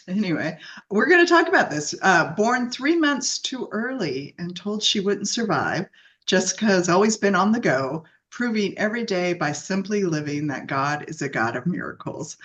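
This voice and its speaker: A woman from Dallas, co-hosting a book podcast and reading a guest's bio aloud in a bubbly, laughing way. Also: on the low side. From Dallas, Texas, USA. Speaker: podcast co-host.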